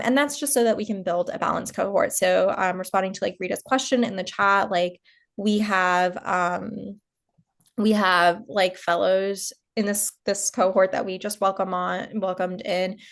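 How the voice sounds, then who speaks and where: A woman co-presenting on an informational call, explaining and clarifying informally in halting, conversational phrases. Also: medium pitch, vocal fry. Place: online group call.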